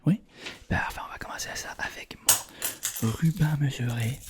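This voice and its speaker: A man with a Quebec French accent doing an ASMR roleplay as an attentive tailor, in a breathy whisper, repeating words and phrases soothingly. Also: medium pitch, moderate pace.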